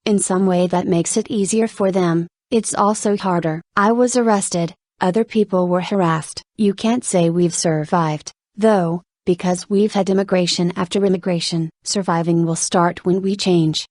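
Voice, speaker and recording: A woman reading an interview transcript aloud in an even, measured delivery. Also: mid-range voice, no room sound, clean studio sound. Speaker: reader of an interview article.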